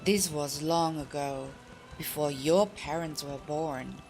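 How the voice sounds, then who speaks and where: A woman voicing an aged, wrinkled temple master who tells a pupil about the past in formal, measured phrases, in an audiobook. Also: sits low, a little mellow, soft background music. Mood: reminiscing, instructive. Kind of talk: audiobook character reading.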